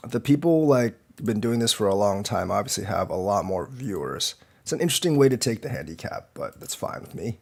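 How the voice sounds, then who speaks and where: A man's voice: lowish voice, lively intonation, fairly quick, creaky, hardly any pauses, darkish tone, breathy edge. Man with a slight cold, rambling. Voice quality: slightly off, congested voice. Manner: rambling, filler-heavy, critiquing moves. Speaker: go teacher streamer. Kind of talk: live game commentary.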